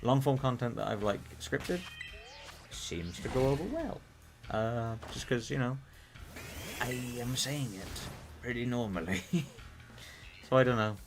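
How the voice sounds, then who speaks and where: A British man streaming a game, chatting casually in a rambling, profane way that he calls monotone. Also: mid-range voice, measured pace, long pauses, music in the background. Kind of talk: casual live-stream chat while gaming.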